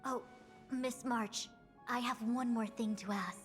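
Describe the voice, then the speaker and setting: A woman's voice: a little high, steady tone, takes it slowly, big-room sound, faint music behind. A woman voicing a composed, erudite diviner in a video game, speaking formally and analytically. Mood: probing.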